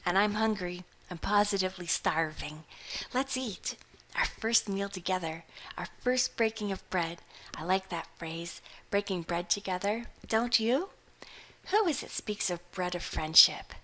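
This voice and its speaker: A romantic, excitable young woman, as a woman reading a storybook puts her on, gushing dreamily and a little higher than the narration. Her voice stays light and eager. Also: unhurried, poor audio.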